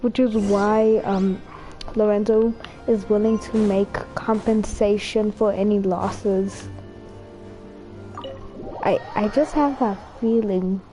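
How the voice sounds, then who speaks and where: A woman's voice: mid-range voice, fairly even intonation, takes it slowly, dark tone, soft background music, poor audio. A woman game streamer giving casual commentary as she plays.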